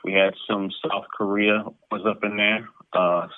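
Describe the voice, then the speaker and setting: A man's voice: fairly low pitch, little pitch movement, measured pace, no room sound. A man giving casual, conversational answers as an interview guest over a remote call. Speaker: interview guest, comic book creator. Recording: narrow, tinny phone-like line.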